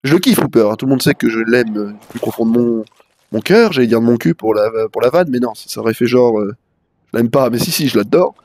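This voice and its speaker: A man's voice: mid-range voice, animated, fast, darkish tone, slight background noise. A young man with a head cold chatting casually and rambling in slang while livestreaming a video game. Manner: rambling, slangy, frequent fillers. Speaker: live-streaming gamer.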